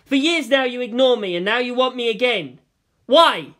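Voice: mid-range voice, lively intonation, moderate pace, sharp tone, voice pushed up. A narrator voicing a guarded, challenging teenage boy with a pointed manner in a read story. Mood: angry, defiant. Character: guarded, sharp-tongued teenage boy, defiant toward his father.

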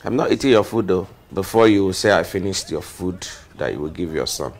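A man's voice: low voice, lively intonation, a little fast, creaky, heavily acted. A man with a Nigerian accent playing a jobless, suspicious husband who taunts and exclaims in a screen drama. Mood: scornful.

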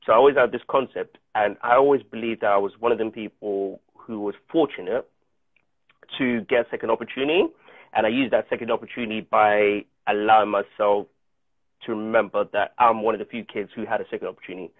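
A man's voice: fairly low pitch, steady tone, fairly quick, dry recording. A man in his thirties from South Africa, whose first language is Chichewa, talking earnestly and reflectively as an interview guest over a video call. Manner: earnest, reflective storytelling with many restarts. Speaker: guest actor. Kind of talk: casual interview. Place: home room on a video call. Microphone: video call with earphone mic. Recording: tinny dry phone-like call audio.

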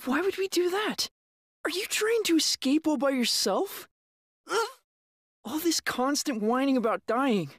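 A woman's voice: high-pitched, animated, a little slow. A woman voicing a blunt, sullen young boy in an anime dub, speaking in a matter-of-fact way. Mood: scornful.

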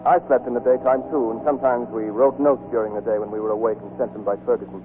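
An actor in a 1940s radio drama playing a lovestruck, wistful young soldier, earnest and understated. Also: male voice, medium pitch, fairly even intonation, quick pace, narrow, tinny audio, close to an everyday voice. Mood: wistful narrating. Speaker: actor and narrator in a radio play. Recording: thin 1940s radio recording with music.

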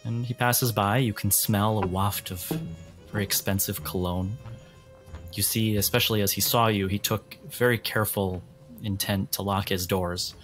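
Low-voiced male game master narrating. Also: fairly even intonation, moderate pace, fairly bright tone, soft background music. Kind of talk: atmospheric tabletop game narration.

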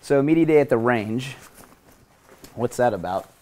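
A man in his thirties with a hoarse voice, hosting a casual gear review vlog from a hotel room and balcony. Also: mid-range voice, unhurried, slight background noise. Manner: energetic, talks with his hands. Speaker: host.

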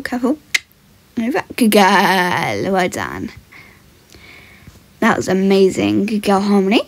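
A young woman giving casual vlog commentary while riding, breaking off into short soothing commands and murmured praise to a horse. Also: mid-range voice. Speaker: horse rider in a voiced role-play video.

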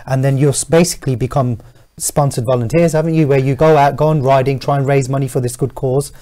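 Fast-talking mellow male TV host. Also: mid-range voice, steady tone. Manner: chatty, quick prompting questions. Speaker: TV talk show host. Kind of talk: studio panel interview. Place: TV studio.

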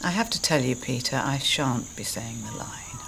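Stubborn tired actress, middle-aged, terse n restrained, faint noise behind. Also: female voice, rumbling low, lively intonation, normal speed, vocal fry, a bit bright, exaggerated voice. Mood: weary, subdued. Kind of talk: comedy soap acting.

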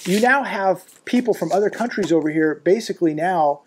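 A man's voice: high voice, animated, moderate pace, a little hiss or hum. Alarmed man's emphatic commentary monologue. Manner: alarmed, emphatic, repetitive with frequent restarts and fillers. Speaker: commentary vlogger. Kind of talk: unscripted news commentary monologue. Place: living room indoors.

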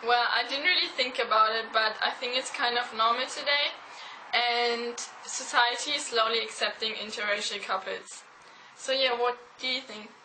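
Bright-voiced young woman, upbeat. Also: fairly high pitch, moderate pace, slight background noise, poor audio. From Australia. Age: young adult. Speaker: student actor playing a wife. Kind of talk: amateur scripted student video. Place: small room indoors. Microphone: camera mic.

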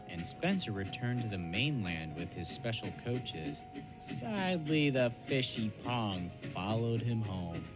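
Low-voiced, animated male storyteller. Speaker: narrator. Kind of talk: scripted storybook narration.

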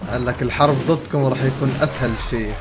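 A young man with a Levantine Arabic accent talks casually as a game streamer, translating dialogue as he goes. His voice sits at a middle pitch, with clear game noise behind it. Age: young adult. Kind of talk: casual gameplay commentary, translating dialogue aloud. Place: indoor room.

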